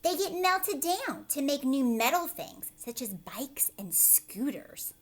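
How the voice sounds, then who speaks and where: A woman's voice: high voice, big pitch swings, slow, voice pushed up, exaggerated voice. A woman voicing a patient male schoolteacher in a children's storybook, explaining emphatically and prompting with questions. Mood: instructive, encouraging.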